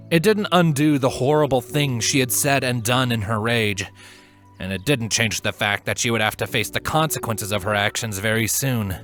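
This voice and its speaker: A man narrating scripted fan fiction in an earnest, dramatic storytelling manner with deliberate pauses. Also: mid-range voice, a bit bright.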